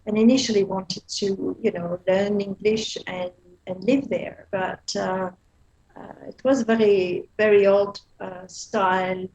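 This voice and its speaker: A chatty woman in her fifties with a Belgian accent, speaking as an interview guest. She tells things in a lively, animated way, with frequent laughs, fillers and restarts, at a middling pitch for a woman. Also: slow pace, low-quality recording. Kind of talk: casual interview chat.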